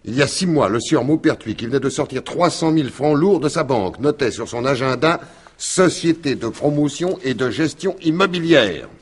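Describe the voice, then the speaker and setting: Husky cop boss in a radio whodunit, shrewd n wry, keeps pushing. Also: male voice, medium pitch, lively intonation, normal speed. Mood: excited, brisk. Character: shrewd, wry, insistent male police commissioner. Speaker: actor in a radio mystery. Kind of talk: French detective radio drama acting.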